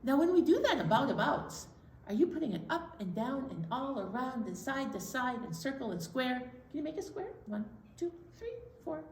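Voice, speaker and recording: A woman leads a children's story time with playful, simple instructions. Her voice is on the high side and very animated, at an easy, slowish pace, in an echoey room. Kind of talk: interactive storytime, addressing young children. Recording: echoey room, distant mic.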